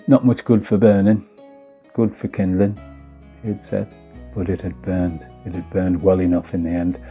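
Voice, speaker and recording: A man's voice: sits low, little pitch movement, normal speed. A man reading a scripted first-person story as its narrator. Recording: muffled phone-like audio with faint music. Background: faint music.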